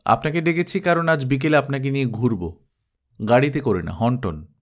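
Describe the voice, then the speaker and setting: A man's voice: mid-range voice, moderate pace, natural voice. A man voicing a composed young woman boss in an audiobook, speaking in a matter-of-fact, decisive way. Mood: casual, brisk. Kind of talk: audiobook character dialogue.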